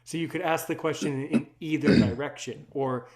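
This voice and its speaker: A man with a fairly high voice hosts a casual interview podcast. He is chatty and teasing, and he likes to repeat a phrase as he plays with it. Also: steady tone, normal speed, darkish tone. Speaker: podcast host.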